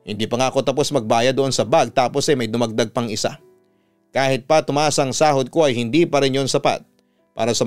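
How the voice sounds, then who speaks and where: Smooth Filipino male storyteller over music. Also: medium pitch. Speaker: storyteller, radio announcer. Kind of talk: scripted dramatic narration of a listener's letter.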